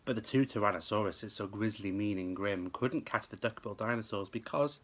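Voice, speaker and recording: Forceful, slightly husky man reading a storybook. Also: on the low side, a little fast. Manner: emphatic, rhythmic delivery of rhyme. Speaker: teacher reading a story to pupils. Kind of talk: expressive children's storybook reading.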